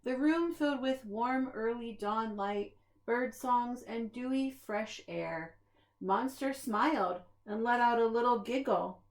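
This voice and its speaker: Slow, expressive woman reading a storybook. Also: a little high, poor audio. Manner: expressive, deliberate storytelling with asides to young listeners. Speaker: preschool staff reader. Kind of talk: read-aloud of a children's picture book.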